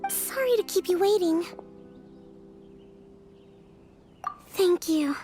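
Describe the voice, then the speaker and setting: A gentle young priestess and strategist in an anime game. The voice is very high and girlish, with a polite, composed delivery over faint music. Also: expressive, moderate pace. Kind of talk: anime-style game voice acting.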